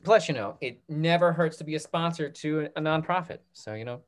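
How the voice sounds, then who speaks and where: A man's voice: fairly high pitch, fairly quick. A man in his thirties informally making announcements as host on a video call, encouraging and a little hesitant. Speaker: instructor hosting a theatre talkback. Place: indoor room on a video call. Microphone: computer microphone on video call.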